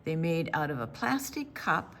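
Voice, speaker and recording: An older woman reading a picture book to children as a storytime presenter, with deliberate storyteller phrasing and dramatic pauses. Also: low voice, big pitch swings, fairly bright tone.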